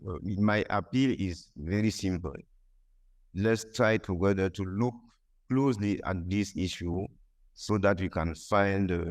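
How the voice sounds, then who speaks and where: Low-voiced man. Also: a little mellow. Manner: hesitant, many fillers and restarts. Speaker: conference panelist. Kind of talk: academic panel answer.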